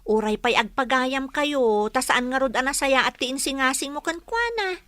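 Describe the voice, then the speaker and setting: A woman's voice: high-pitched, moderate pace, a bit bright, no room sound, studio quality. A woman playing a sensible young daughter who questions and coaxes as a peacemaker in an Ilocano comedy radio drama. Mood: coaxing, reproving.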